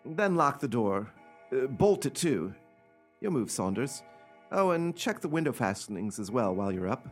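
A man's voice: mid-range voice, big pitch swings, normal speed, faint music behind, close to an everyday voice. An actor playing an imperious, irritable invalid man in a radio play, bossy and impatient with fear underneath.

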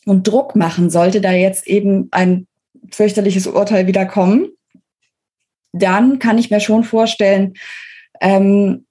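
A woman's voice: mid-range voice, steady tone, a little slow, dark tone, slightly rough. A woman speaking as an interview guest, giving articulate, explanatory political analysis in a conversational way.

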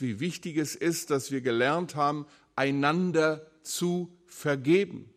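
A man preaches with earnest emphasis. His voice sits a little high for a man, and he slows down and sinks low on the words he wants to stress. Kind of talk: sermon.

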